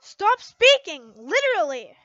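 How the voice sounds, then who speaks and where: Woman as young female love rival, upset, hostile, curt, insistent, high-pitched, dry slightly dull recording. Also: sing-song, measured pace, a bit bright, no room sound, heavily acted. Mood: angry.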